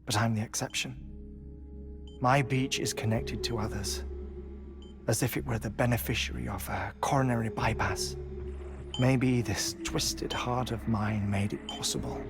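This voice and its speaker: An actor playing a gentle, obsessive male scientist with a weak heart, who talks in a soft, thoughtful, deliberate way. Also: mid-range voice, fairly even intonation, natural voice. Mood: musing. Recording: game cutscene with music behind.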